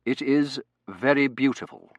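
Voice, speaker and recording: A man voicing an impassive, blunt manservant in an audiobook, formal, deliberate and coolly disdainful. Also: mid-range voice, no echo, voice pushed up, close to an everyday voice. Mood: impassive, polite. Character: impassive, blunt, deferential manservant with educated contempt. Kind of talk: audiobook character voice.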